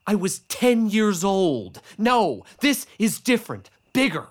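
Excitable, obsessive UFO-hunting uncle. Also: male voice, high-pitched, slow, exaggerated voice. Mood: eager, intense. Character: excitable obsessive younger brother, an amateur UFO hunter. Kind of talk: family audio drama acting.

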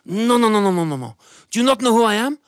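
A boastful, gluttonous storybook goat played by a male storyteller, pitched well above a normal man's talk. It taunts and threatens, repeating words in a bullying way. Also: lively intonation, fast talker, clear, resonant tone, no room sound, clean studio sound.

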